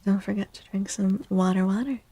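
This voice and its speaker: A woman reading tarot in a soft-spoken ASMR style, gentle and deliberate, often close to a whisper, with pauses and repeated phrases. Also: medium pitch, steady tone, breathy edge, no echo. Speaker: streamer, tarot reader.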